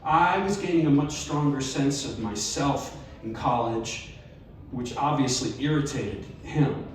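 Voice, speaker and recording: A man reading a personal essay aloud at a live event, measured and expressive with wry emphasis. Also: mid-range voice, a little hiss or hum. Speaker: story reader. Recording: very echoey live room, distant mic.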